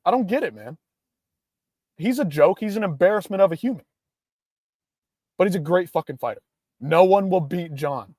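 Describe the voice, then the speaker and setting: A man's voice: high-pitched, lively intonation, moderate pace, pauses often, darkish tone, dry recording, studio quality. A young man hosting a casual livestream, ranting in a hyped-up, profane way. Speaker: livestream host.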